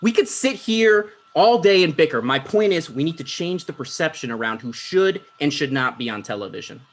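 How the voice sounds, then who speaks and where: A man's voice: fairly high pitch, lively intonation, normal speed. A man playing a self-important, insecure would-be talk show host, dismissive and argumentative, in a comedy table read. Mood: defensive.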